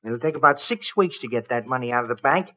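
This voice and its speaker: An actor playing a cocky, bullying ex-convict blackmailer in an old-time radio crime drama, domineering and needling. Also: male voice, mid-range voice, lively intonation, fast talker, close to an everyday voice. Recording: dull vintage radio recording.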